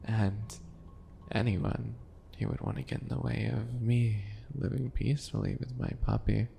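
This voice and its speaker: Man acting a slow, creaky, sinister yandere schemer. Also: lowish voice, steady tone, dark tone, a little hiss or hum. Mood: sinister, coaxing. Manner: deliberate, mocking, theatrical, breathy asides. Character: calculating, sinister male potion-maker, obsessive mocking yandere. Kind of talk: ASMR roleplay acting.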